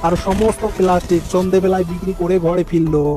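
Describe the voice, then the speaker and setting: A narrator telling a cartoon story in a plain, steady manner. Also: medium pitch, quick pace, faint music behind.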